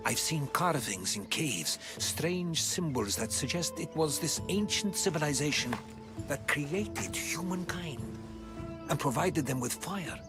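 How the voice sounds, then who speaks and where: An actor playing a learned, calm middle-aged historian who speaks in a measured, reflective way, over game music. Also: male voice, on the high side. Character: learned older male historian, calm and thoughtful.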